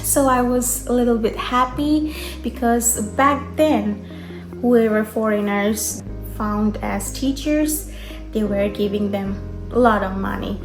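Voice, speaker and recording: Young woman vlogger from Bangladesh, higher voice, relaxed storytelling with fillers, roomy echo. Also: over music. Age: young adult. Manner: unhurried, conversational, with frequent fillers. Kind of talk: personal story vlog.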